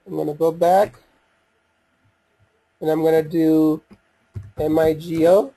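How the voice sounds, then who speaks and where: Guy doing a casual software demo, kinda mellow and husky, stop and start like hes thinking out loud. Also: fairly high pitch, steady tone, dry recording. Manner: halting, thinking aloud while working. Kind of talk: informal screen-share software walkthrough.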